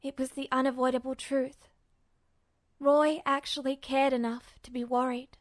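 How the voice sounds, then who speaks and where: A woman with a high voice narrates fiction from a script. The recording is very dry, with the sound of a story read aloud for listeners. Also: level tone, normal speed, dry recording. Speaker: podfic narrator.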